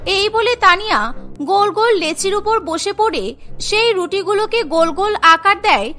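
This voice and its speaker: Woman storyteller, really high bright voice, cartoon narration, music underneath. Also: animated, normal speed. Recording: music behind the voice.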